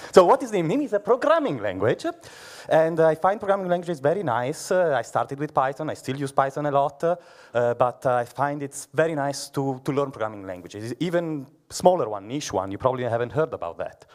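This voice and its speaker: A man's voice: medium pitch, big pitch swings, fairly quick. A man from Italy, giving a short conference talk with frequent fillers and restarts. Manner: hesitant, many fillers, says he has a loud voice. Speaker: conference speaker. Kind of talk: lightning talk presentation. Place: conference hall.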